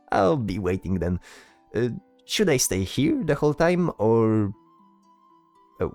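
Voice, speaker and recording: A man voicing a polite, hesitant bard in a fantasy audio drama, speaking in a halting, showy, courteous way. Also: medium pitch, dark tone, no echo, full character voice. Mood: unsure. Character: chatty, polite, hesitant male bard spellcaster.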